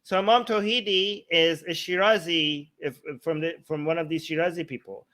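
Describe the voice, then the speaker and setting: Male talk host, fairly high register, informal explainer who often checks the listener is following. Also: steady tone, normal speed, pushed and bright. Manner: informal, checks in with "okay" and "right". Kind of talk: casual explanatory discussion.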